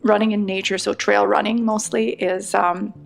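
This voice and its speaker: A woman's voice: medium pitch, level tone, normal speed, gravelly. A middle-aged woman from Quebec with a Quebec French accent, a French speaker talking in English as a podcast guest, reflective and chatty. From Quebec, Canada. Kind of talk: conversational interview.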